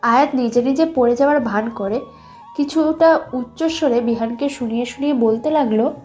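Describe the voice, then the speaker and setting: Casting: female story narrator, high voice, scripted romantic fiction, faint music bed. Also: normal speed, a little mellow.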